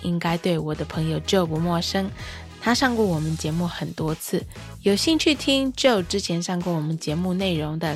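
A woman from Taiwan hosting a podcast, chatty and self-deprecating, reading scripted narration that moves between English and Mandarin. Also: fairly low pitch. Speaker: podcast host.

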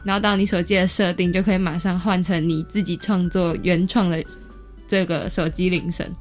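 A woman's voice: on the low side, level tone, fairly quick, a little mellow, faint music behind. A teenage female student with a Taiwanese Mandarin accent, giving informal answers as a guest in an interview. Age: teens. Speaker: high school student guest.

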